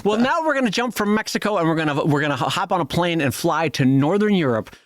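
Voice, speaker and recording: Cheerful male co-host, lively and slightly raised pitch, dry. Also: quick pace, no echo. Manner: cheerful, merry tone. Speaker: podcast co-host. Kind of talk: casual chat.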